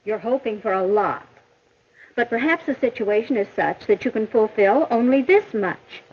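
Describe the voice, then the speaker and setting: An American actress playing a patient, instructive female mentor in a 1950s educational film, explaining in a measured, didactic way. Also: a little high, expressive, husky. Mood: encouraging, instructive. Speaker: teacher or counselor in an educational film. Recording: muffled old 1950s film soundtrack.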